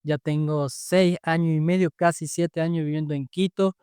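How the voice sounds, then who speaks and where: Venezuelan man, cheerful faith podcast co-host, fairly high voice, dry close mic. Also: fairly even intonation, moderate pace, a little mellow, clean tone, no echo. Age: adult, married. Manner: cheerful, affectionate, enthusiastic.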